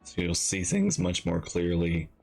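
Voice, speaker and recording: Male reaction streamer, deep voice, casual, halting, rambling, repeats phrases, faint music behind. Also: sing-song, gravelly. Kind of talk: casual live game reaction commentary.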